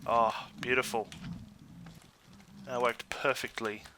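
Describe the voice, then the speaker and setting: An Australian man giving casual, chatty let's play commentary in an easygoing way, thinking out loud as he goes. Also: mid-range voice, flat delivery, takes it slowly, noisy background. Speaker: gaming YouTuber.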